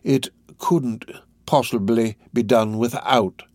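Storybook villain, played by a male narrator: older man, sly fence, slow coaxing delivery. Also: medium pitch, lively intonation, a little mellow, dry recording, studio quality. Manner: slow, drawn-out, ingratiating.

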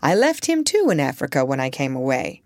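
A woman voicing a gentle, courteous elderly male doctor with a mild, musing manner. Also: very deep voice, wildly swooping pitch, fairly quick, no echo, clean studio sound, voice pushed down, exaggerated voice.

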